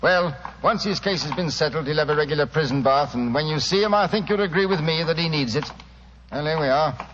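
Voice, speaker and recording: A plain-spoken British police inspector played by a man in old radio drama style. He is genial and blunt, with a slightly high voice on a muffled recording with faint noise. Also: brisk, a bit bright, lightly acted.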